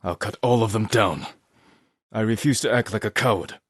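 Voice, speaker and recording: An actor playing a grave, honor-bound young samurai lord in a video game, speaking in a measured, restrained way with short, solemn sentences. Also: male voice, fairly low pitch, a bit bright, breathy edge, dry recording, clean studio sound. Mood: resolute.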